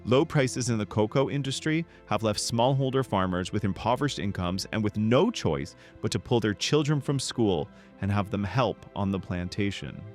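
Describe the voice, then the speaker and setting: A man's voice: fairly low pitch, animated, moderate pace, hardly any pauses, soft background music. A man giving a scripted, factual read-aloud of a quoted news report. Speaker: reader of quoted journalism.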